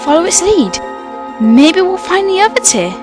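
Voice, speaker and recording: A woman's voice: extremely high pitch, very animated, normal speed, over music. A woman playing a level-headed, earnest young female adventurer in an amateur radio play. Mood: urging.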